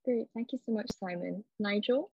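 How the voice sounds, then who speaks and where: A woman's voice: mid-range voice, moderate pace, creaky, slightly rough, no echo, phone-quality sound. A woman co-hosting a podcast, politely introducing guests and moderating a roundtable. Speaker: podcast co-host.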